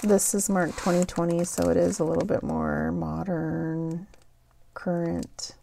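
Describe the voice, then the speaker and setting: A woman chatting casually as she shows off thrift store finds, her voice getting a little raspy. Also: lowish voice, level tone, mellow, a little hiss or hum. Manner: slow, unhurried, chatty with fillers. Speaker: thrift haul vlogger. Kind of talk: casual haul show-and-tell.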